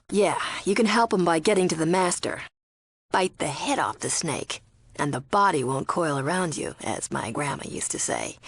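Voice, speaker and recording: A woman's voice: lowish voice, vocal fry, no echo. Wary, sardonic young woman, close and dry. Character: wary, sardonic young female spy posing as cult follower. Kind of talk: video game character dialogue.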